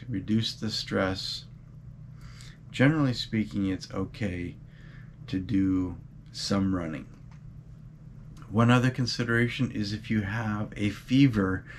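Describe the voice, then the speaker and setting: A man hosting his running vlog, a bit congested from a cold with a mild cough, giving earnest advice with frequent um and uh pauses. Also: fairly low pitch, slight background noise. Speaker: running channel host. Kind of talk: casual advice vlog talk.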